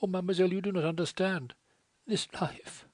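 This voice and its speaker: A man voicing a tearful young French woman who pleads in a rapid, sighing way. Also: high voice, steady tone, no room sound, studio quality, pitched above the performer's own voice.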